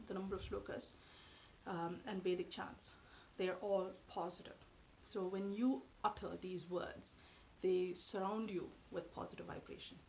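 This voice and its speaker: Measured woman talking to camera. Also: mid-range voice, darkish tone, slight background noise, rough recording. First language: Tamil. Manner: measured, thoughtful, with frequent um and uh pauses. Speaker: vlogger. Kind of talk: explanatory talk to camera. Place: living room, seated on a sofa. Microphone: camera in front at close range.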